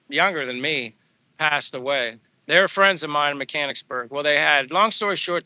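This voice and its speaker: Middle-aged male livestreamer, rambling and friendly, bright ringing tone, hoarse edge. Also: fairly high pitch. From Pennsylvania, USA. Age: 50s. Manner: rambling, chatty, answers viewers by name. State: voice going hoarse from talking. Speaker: livestream vlogger. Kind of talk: casual live chat reading comments while walking. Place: outdoors on a walk.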